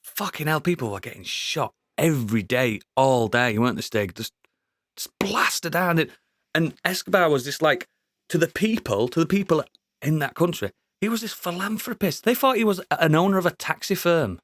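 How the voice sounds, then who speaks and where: A man co-hosting a podcast, chatting casually about a TV show, sweary and excitable, laughing often. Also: on the high side, moderate pace, no echo. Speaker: podcast co-host. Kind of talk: casual review chat.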